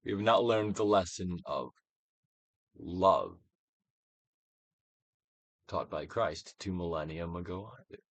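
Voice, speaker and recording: A man reading a book aloud as a theatrical dramatic performance, in a solemn, deliberate, declamatory manner. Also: sits low, dry recording. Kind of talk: dramatic audiobook reading, theatrical Shakespearean delivery.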